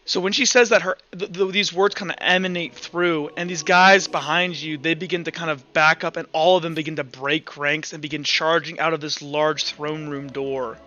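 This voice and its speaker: A man acting as game master, improvising tabletop narration in a casual, halting storytelling style. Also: a little high, steady tone, bright, forceful tone, highs cut off. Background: faint music.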